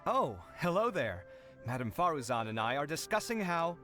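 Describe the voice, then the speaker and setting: A man playing an amiable, expressive, easily surprised young man in a video game. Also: a little high, normal speed, faint music behind. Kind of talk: English video game voice acting.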